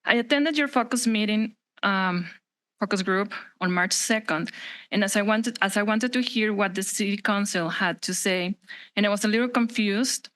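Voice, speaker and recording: Brief: woman, Spanish native, Mexican accent, reads prepared remarks at a podium mic, earnest, stumbles now and then, mid pitch. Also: moderate pace, fairly bright tone. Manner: earnest, some slips and restarts. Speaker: public commenter at a council meeting. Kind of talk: reading a prepared public comment. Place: council chamber.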